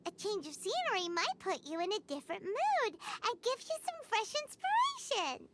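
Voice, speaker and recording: A woman voicing a tiny excitable female companion sprite in a video game cutscene, with a squeaky, childlike voice and an eager, chatty delivery. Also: moderate pace, very noisy, heavily acted. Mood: chirpy.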